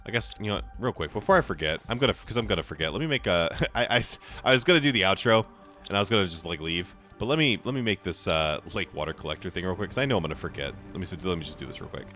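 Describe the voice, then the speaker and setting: Hoarse young male gamer host, low-set forceful voice, rambling chatty commentary, faint game music behind. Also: animated, racing. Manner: rambling, restarts often, thankful and chatty. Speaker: gaming channel host. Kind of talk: casual gameplay commentary.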